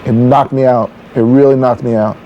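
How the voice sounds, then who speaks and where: A man's voice: mid-range voice, fairly even intonation, normal speed, mellow, highs cut off, a little hiss or hum. A middle-aged man talking casually and unscripted in an interview as a father, plainspoken and repeating phrases for emphasis. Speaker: father being interviewed at home.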